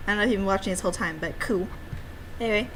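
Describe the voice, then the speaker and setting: A woman with a mid-range voice chats casually on a livestream as an amateur game developer. She rambles playfully and drops in cutesy exclamations. There is clear noise behind her voice. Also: medium pitch, racing, a bit bright, low-quality recording.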